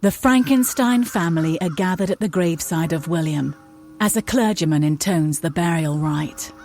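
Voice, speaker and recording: A woman's voice: lowish voice, moderate pace, darkish tone, soft background music, close to an everyday voice. A woman narrating a gothic audio drama as a grave storyteller, in dramatic scripted style.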